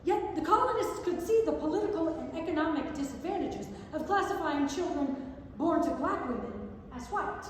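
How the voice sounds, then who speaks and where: A woman's voice: high-pitched, animated, normal speed, a little hiss or hum. A woman giving a staged reading of non-fiction prose, recorded from far off in an echoey theatre. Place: large indoor theatre.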